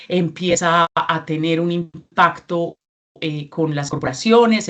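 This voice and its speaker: A woman in her forties with a Colombian accent, giving a measured presentation at a training workshop, with frequent filler sounds and restarts. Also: on the low side. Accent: Colombian Spanish. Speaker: regional representative giving a training talk.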